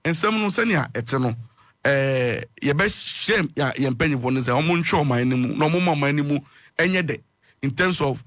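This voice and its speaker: A man with a Ghanaian accent, a guest on a radio talk show, speaking haltingly and mixing Twi with English. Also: fairly high pitch, lively intonation, fairly bright tone, no room sound. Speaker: political guest. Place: radio studio.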